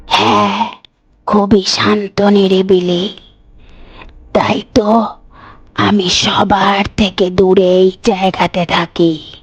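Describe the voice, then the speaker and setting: A woman acts out an eerie old village grandmother from a horror cartoon. Her voice is very rough and breathy, and she draws out each phrase with slow, insistent coaxing and little variation in pitch. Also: little pitch movement, dull audio. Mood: eerily coaxing.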